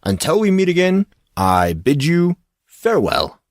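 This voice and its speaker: A man's voice: on the high side, sing-song, measured pace, dry recording, pitched above the performer's own voice. A man puts on an eccentric male coach from an audiobook tale, a former football player with courtly manners. He speaks in grandiose, formal sentences, effusively polite, in a close, dry sound. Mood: grand farewell. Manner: formal, flowery, grandiose phrasing.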